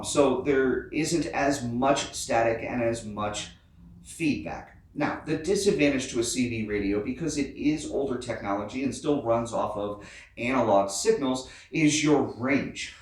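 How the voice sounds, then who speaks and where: An American man hosting an explainer vlog, talking informally and directly to his viewers. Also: medium pitch. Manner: informal, addresses viewers as guys. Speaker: host of a Jeep off-road channel. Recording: very echoey, distant mic.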